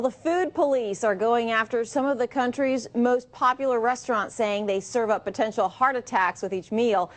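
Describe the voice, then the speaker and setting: A woman anchoring local TV news, reading stories in a crisp, even newsreader delivery from the studio. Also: medium pitch. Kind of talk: read local TV news copy.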